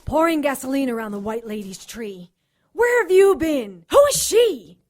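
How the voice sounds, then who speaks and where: High-voiced, determined occultist. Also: female voice, very animated, normal speed, a little mellow. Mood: surprised, brusque. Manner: resolute, commanding. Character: determined, vengeful female occultist, intense and defiant. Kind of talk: audio drama acting.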